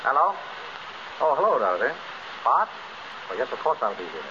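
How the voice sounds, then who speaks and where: An actor playing a witty, teasing detective husband in a 1930s radio drama, with dry banter. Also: male voice, medium pitch, sing-song, moderate pace, dull audio, close to an everyday voice. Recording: scratchy 1930s radio broadcast with hiss.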